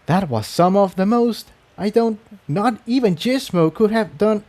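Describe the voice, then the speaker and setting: Man voicing a frank female unicorn, raised pitch. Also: lively intonation, measured pace, a little mellow. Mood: amazed. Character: frank, confident female unicorn pony, seasoned fighter.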